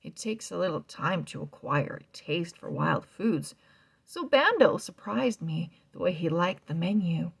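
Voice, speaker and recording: A woman reading a children's novel aloud, slow and expressive, sometimes dropping to a soft whisper. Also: lowish voice, gravelly, slightly rough. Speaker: read-aloud narrator.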